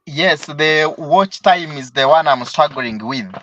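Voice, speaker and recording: A man's voice: fairly high pitch, takes it slowly, bright, forceful tone, slight background noise. A young man from Uganda with a Ugandan accent, a student calling in to a live stream, speaking hesitantly with fillers and laughs. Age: young adult. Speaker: caller, student and travel vlogger. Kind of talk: live call-in chat. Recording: dull, dry call audio.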